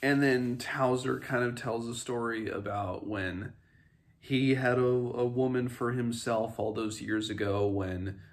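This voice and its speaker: A man hosting a read-along, giving a casual book recap with rambling, halting, self-correcting commentary. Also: mid-range voice, fairly even intonation.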